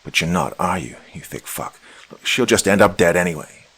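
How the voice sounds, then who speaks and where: Man voicing a cursing angry dude, low mutter. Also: fairly quick, a bit bright, breathy edge, heavily acted, poor audio. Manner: swearing, muttering. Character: angry foul-mouthed young man.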